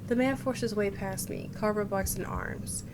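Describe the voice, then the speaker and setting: A woman's voice: medium pitch, moderate pace, noisy background. A woman streamer reading visual novel text aloud, expressively voicing the narration and character lines. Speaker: game streamer. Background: game music.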